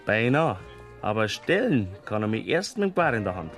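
A bold young Bavarian poacher. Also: male voice, lowish voice, big pitch swings, brisk, a bit bright, soft background music. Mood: stubborn. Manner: stubborn, bold. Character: young poacher, reckless and stubborn. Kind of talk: classic radio play acting.

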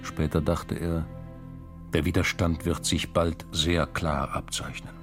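A man narrating literary fiction as an audiobook reader, deliberate and dramatic in his storytelling. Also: extremely low pitch, level tone, over music. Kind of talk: literary narration with acted dialogue.

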